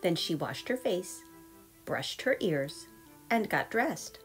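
A woman reading a storybook aloud for young children, expressive, measured and clearly phrased. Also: fairly low pitch. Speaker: storybook reader. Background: soft background music.

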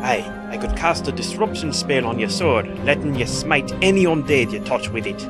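A man voicing a gruff, suspicious dwarf cleric in a cartoon fan dub. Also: medium pitch, lively intonation, normal speed, loud music behind the voice, lightly acted. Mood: earnest.

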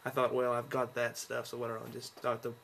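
A tired young man rambling in a low-key way to a webcam from his bedroom, recording a casual video diary. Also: monotone, brisk, gravelly, fairly bright tone. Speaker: vlogger. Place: bedroom at home.

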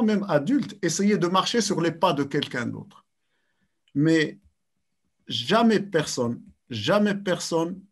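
An older man giving a lecture over a video call, measured and repeating words as he searches for phrasing. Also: medium pitch, vocal fry. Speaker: sports science speaker. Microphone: webcam on video call.

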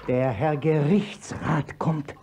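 A man's voice: on the high side, fairly even intonation, takes it slowly, mellow. A man playing a sly, needling court clerk with a probing manner in a 1950s German radio play. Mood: urgent warning. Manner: probing, pointed. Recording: dull, noisy old 1950s radio recording.

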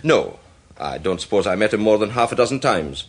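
Husky, hesitant gentleman. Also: on the low side, lively intonation, a little fast. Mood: earnest, explaining. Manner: hesitant, apologetic. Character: polite, hesitant, earnest gentleman with a worry. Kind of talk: British radio drama acting.

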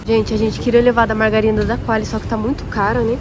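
A woman's voice: fairly high pitch, little pitch movement, fast. A young woman vlogging while shopping in a large supermarket, chatting to viewers and comparing prices aloud, filmed on a phone at arm's length. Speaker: vlogger, café owner. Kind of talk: casual shopping vlog. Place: large warehouse supermarket, indoors. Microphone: phone held at arm's length. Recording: noisy store ambience.

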